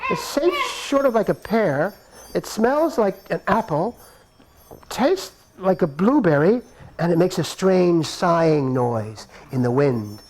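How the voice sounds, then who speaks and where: Man doing a cheeky young prankster, low musing voice, mischievous, puppet-show hero. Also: very animated, slow, a little mellow, a little hiss or hum, full character voice, rough recording. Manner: mischievous, musing, chuckling to himself.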